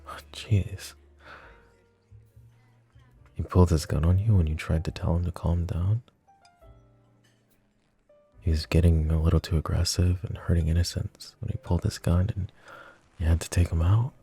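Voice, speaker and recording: An actor playing a possessive, flirty mafia boss in an ASMR roleplay drama, teasing and smug with a cold, threatening edge. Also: male voice, sits low, fairly even intonation, moderate pace, long pauses, warm tone, breathy edge, faint music behind, full character voice. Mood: wry.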